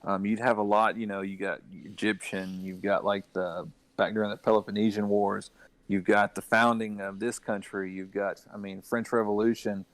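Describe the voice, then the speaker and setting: Low-voiced man explaining, dry close sound. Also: little pitch movement, moderate pace, no echo. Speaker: podcast panelist. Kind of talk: casual discussion of video games and adaptations.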